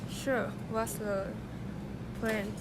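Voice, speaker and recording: A painfully slow sloth. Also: female voice, mid-range voice, level tone, very noisy. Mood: sluggish. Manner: drawn-out, halting delivery. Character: extremely slow male sloth clerk. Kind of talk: student radio drama.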